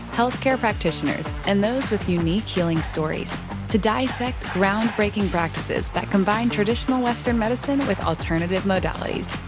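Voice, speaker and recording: A woman reading a scripted show introduction as a promotional announcer. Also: mid-range voice. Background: music.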